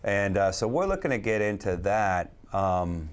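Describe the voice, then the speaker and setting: Man, lowish voice, web design partner, relaxed business chat. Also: unhurried, clear, resonant tone. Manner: relaxed, businesslike, uses sports metaphors. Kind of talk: casual interview talk.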